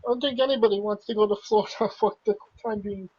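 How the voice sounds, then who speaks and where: A woman's voice: medium pitch, steady tone, fast, a little mellow, husky. A woman hosting a casual wrestling podcast who asks questions and laughs easily. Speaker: podcast host. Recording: dull lo-fi podcast audio.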